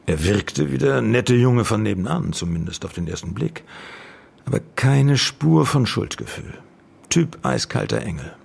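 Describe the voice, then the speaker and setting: A man playing a sober, world-weary lawyer in a naturalistic radio drama, gravelly and husky, telling things in measured, clipped sentences. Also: mid-range voice, lively intonation, heavily acted. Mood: sober, bitter. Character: male lawyer recalling an old case, sober, world-weary.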